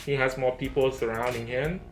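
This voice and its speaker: Lower-voiced man reviewing in a roomy space. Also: fairly even intonation, brisk. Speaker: co-host reviewer. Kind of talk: casual review discussion. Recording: roomy echo, faint music behind. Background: faint music.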